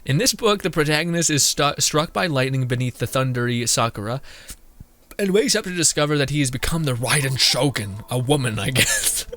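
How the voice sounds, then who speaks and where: A man's voice: mid-range voice, animated, fairly quick, fairly bright tone. A young man doing casual, jokey game commentary and reading text aloud, with game music behind. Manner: giggly, informal, jokey. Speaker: game streamer.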